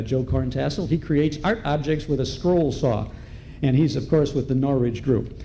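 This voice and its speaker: A man's voice: on the high side, warm tone, rough recording. A man speaking as master of ceremonies, introducing performers to a live audience in a large ballroom. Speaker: event host and master of ceremonies. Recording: live ballroom recording with background noise.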